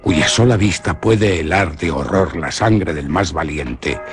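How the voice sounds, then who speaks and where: A man's voice: low voice, measured pace. An actor with a Spanish accent from Spain playing a proud but fearful young nobleman and hunter in a radio drama, dramatic in manner. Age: young adult. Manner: dramatic, swings from boastful to fearful.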